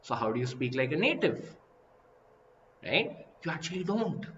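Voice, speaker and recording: A man's voice: on the high side, moderate pace. Indian english guy teaching a class, voice swoops up and down a lot, echoey room. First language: Hindi. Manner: animated, repeats and rephrases, asks "right?" and "okay?" often. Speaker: IELTS teacher. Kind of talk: live online lesson. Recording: echoey room, slightly dull sound, faint hiss.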